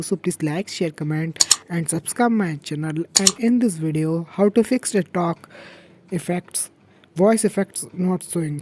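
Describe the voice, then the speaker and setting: A man's voice: high-pitched, dark tone, slight background noise, low-quality recording. A man hosting a tech tutorial and walking through phone app steps in simple, broken English with repeated prompts.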